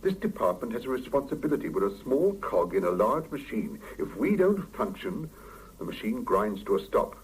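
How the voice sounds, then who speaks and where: An actor playing a stern middle-aged boss in a 1970s radio drama, clipped and authoritative. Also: male voice, medium pitch, sing-song, normal speed, a little hiss or hum, lightly acted. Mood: concerned, pressing. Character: stern middle-aged male laboratory boss, brisk and exacting. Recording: muffled 1975 radio drama cassette recording.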